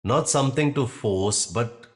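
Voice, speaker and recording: A man guiding a yoga nidra relaxation in a calm, measured manner, leaving long pauses between short phrases. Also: mid-range voice. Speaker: yoga nidra meditation guide. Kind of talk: guided relaxation meditation.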